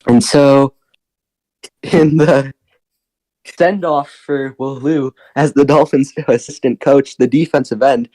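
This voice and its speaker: Hoarse, excitable young male commentator. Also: mid-range voice, slow, low-quality recording. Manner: excitable, laughing, shouting bursts. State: hoarse, lost voice. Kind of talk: excited post-game commentary.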